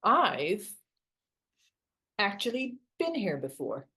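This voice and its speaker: Actress as a wry, expressive woman hiker. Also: medium pitch, slow, full character voice. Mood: hesitant. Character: wry, teasing adult woman hiker, self-deprecating best friend. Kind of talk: naturalistic two-hander drama acting.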